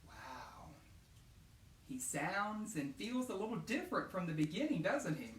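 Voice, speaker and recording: A man's voice: high-pitched, very animated, a little slow, slight background noise. A man speaking as an educator to children, encouraging them and asking questions that he answers himself. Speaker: educator hosting children's read-alouds. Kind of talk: read-aloud and talk addressed to children. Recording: roomy echo, distant microphone.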